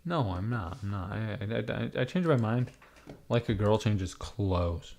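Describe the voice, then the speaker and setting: A raspy-voiced man livestreaming from his computer, rambling casually to his chat, swearing and talking to himself as he types. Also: lowish voice, measured pace, darkish tone. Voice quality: raspy, gravelly. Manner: rambling, swearing, talks to chat while typing.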